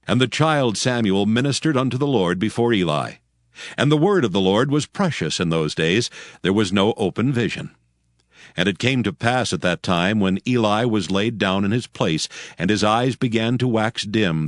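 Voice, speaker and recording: Guy narrating bible story, lowish voice, lively intonation, dry studio sound. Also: measured pace, no room sound. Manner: expressive, formal storytelling. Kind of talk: scripted scripture narration.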